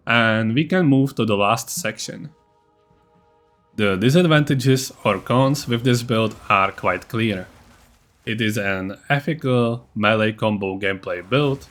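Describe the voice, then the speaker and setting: A man's voice: medium pitch, a bit bright. A man giving a scripted, matter-of-fact game guide narration, with a blunt, self-deprecating streak. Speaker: gaming video creator.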